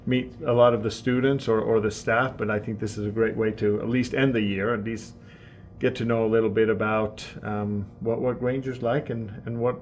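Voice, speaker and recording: A man interviewed as an incoming high school principal, earnest, often repeating words as he starts a sentence. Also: flat delivery, normal speed, slight background noise. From Tanzania and Mexico. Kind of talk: interview answers. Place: school office.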